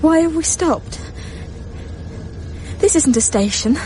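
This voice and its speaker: An actress playing an anxious, claustrophobic, genteel woman who fretfully talks to herself in a radio drama. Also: expressive. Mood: panicked, distressed. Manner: fretful, halting, talking to herself. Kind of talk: radio drama interior monologue. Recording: noisy train rumble behind the voice.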